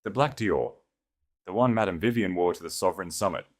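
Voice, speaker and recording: A man's voice: sits low, fairly quick, darkish tone, voice pushed up. A man voicing a proud, wounded wife and heiress in an audiobook, speaking with restraint and control. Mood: cold, commanding. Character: wounded wife turned cold, commanding heiress.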